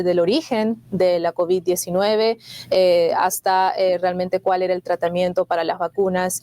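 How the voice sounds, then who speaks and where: Peruvian lady hosting an interview, kinda flat steady voice, lots of "eh"s. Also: on the low side. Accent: Peruvian Spanish. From Peru. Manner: many filler "eh"s, even delivery. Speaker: show host. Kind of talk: interview conversation.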